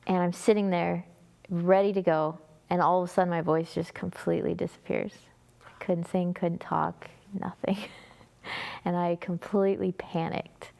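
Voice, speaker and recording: A woman's voice: fairly low pitch, clean tone. A young woman with a North American accent, telling a personal testimony as an interview guest in an earnest, conversational way. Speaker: guest, music ministry singer.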